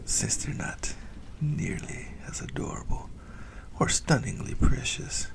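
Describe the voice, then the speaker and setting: A man playing a possessive, doting ancient vampire in a breathy, whispered ASMR roleplay, teasing and intimate as he savors his words. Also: takes it slowly, close to an everyday voice. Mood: doting, playful. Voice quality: breathy whisper with gravelly creak.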